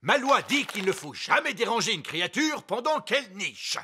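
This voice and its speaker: A man's voice: high-pitched, big pitch swings, normal speed, pushed and bright, husky, exaggerated voice. An actor voicing a pompous, stubborn and childish king who loves birdwatching, in a French cartoon dub. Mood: bossy, excited. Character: pompous childish elf-world king, father, keen amateur birdwatcher.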